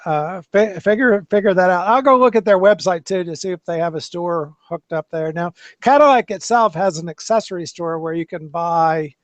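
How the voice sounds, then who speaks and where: A man's voice: sits high, moderate pace, hardly any pauses, no echo. A man hosting a casual car chat, talking in loose, rambling sentences with many restarts. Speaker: podcast host. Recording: dull lo-fi dry sound.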